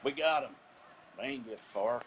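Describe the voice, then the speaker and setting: A man's voice: medium pitch, normal speed, a little hiss or hum, natural voice, rough recording. An actor playing a firm, businesslike Appalachian lawman in a radio drama. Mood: brisk.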